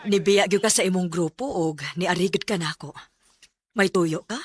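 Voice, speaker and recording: A woman acting in a Bisaya radio drama as a frank, wryly affectionate elderly widow, with a Cebuano accent and plain-spoken, gently teasing delivery. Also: lowish voice, normal speed, close to an everyday voice.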